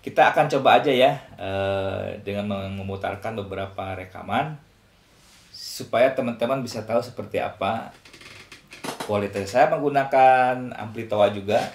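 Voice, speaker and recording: An Indonesian man hosts a casual product review in a fairly low voice. He explains things conversationally, with frequent small tag words at the ends of phrases. The room around him is clearly echoey. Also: measured pace, pauses often, slight background noise. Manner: explanatory, conversational, often tags phrases with "ya" and "gitu".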